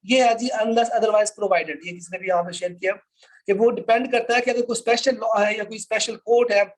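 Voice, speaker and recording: A woman's voice: medium pitch, steady tone, normal speed, low-quality recording. A woman with a Pakistani accent lecturing on an online class, reading formal text aloud and explaining it with frequent short check-in tags. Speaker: law lecturer.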